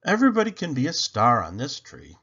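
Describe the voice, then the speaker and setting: Guy doing a perky literal-minded maid lady from a kids book, bit husky, all over the place in pitch, muffled audio. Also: huge pitch swings, a little fast, pushed and bright, exaggerated voice. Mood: excited, eager. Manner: exclamatory, earnest, emphatic. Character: cheerful, literal-minded, eager housekeeper woman. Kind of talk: children's storybook character voice.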